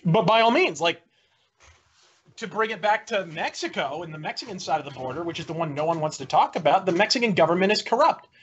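A man's voice: sits high, animated, a little fast, fairly bright tone. A man making a wordy, florid and opinionated argument as a debater in a political debate. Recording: dull lo-fi audio.

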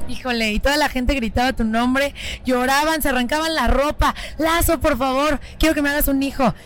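A woman's voice: high-pitched, steady tone, a little fast, a bit bright, slight background noise. A woman hosting a podcast, teasing and exclamatory as she interviews musicians. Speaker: podcast host. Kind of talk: bubbly festival interview chat.

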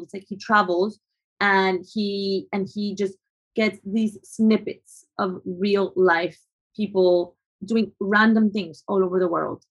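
A woman's voice: medium pitch, low-quality recording. Thoughtful woman photographer from Colombia. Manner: thoughtful, hesitant, many restarts and fillers. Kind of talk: conversational interview answers.

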